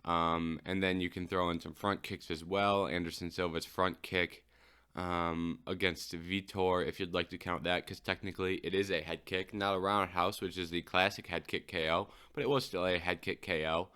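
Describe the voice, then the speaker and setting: A man's voice: deep voice, fairly even intonation, normal speed, pushed and bright, clear, resonant tone. A man hosting his own podcast, talking through a fight recap alone in a casual, unscripted way like a keen fan. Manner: keen fan, lingering on a knockout moment by moment. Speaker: podcast host. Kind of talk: solo sports recap podcast.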